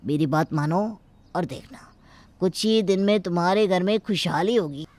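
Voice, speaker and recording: A woman voicing a reassuring male village priest who gives calm, confident counsel in a cartoon story. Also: lowish voice, normal speed, no echo, close to an everyday voice. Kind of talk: cartoon dubbing.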